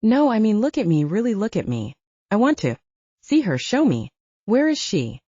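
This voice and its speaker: Audiobook role for a female reader: confident, teasing male soldier who urges and reassures, mellow husky delivery, dry and close. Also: medium pitch, very animated, moderate pace, no echo, highs cut off, pitched above the performer's own voice. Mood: urging. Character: cocky, reassuring male werewolf soldier, playful and insistent. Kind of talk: audiobook character dialogue.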